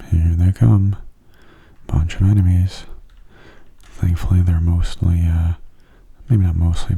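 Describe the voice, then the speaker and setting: A man giving soft-spoken ASMR game commentary in a gentle, breathy, unhurried voice that often drops close to a whisper. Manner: gentle, unhurried, low-key. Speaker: gaming video host.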